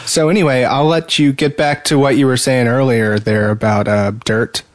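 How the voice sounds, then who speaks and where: A man's voice: mid-range voice, fairly even intonation, normal speed, no echo, clean studio sound. A man co-hosting a podcast in casual banter, with a jokey, sarcastic manner. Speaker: podcast co-host.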